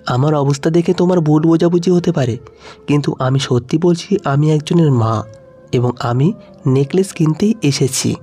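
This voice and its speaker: A man's voice: on the high side, normal speed, dark tone, breathy edge, soft background music. A man voicing a humble, pleading old mother in a story, meek and polite.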